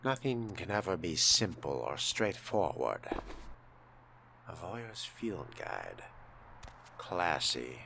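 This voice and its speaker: Deep, slow, gravelly man, haughty. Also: very animated, noisy background. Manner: haughty, drawling, formal diction. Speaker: player voicing his own vampire character in a roleplay playthrough. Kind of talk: in-character roleplay commentary over a game.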